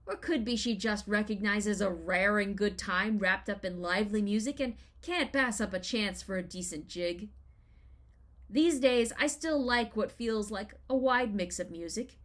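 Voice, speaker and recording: A woman in her thirties with an American accent, reading a scripted first-person essay in an expressive, wry storytelling manner. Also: mid-range voice, a little slow. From Los Angeles, United States. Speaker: writer reading their own personal essay.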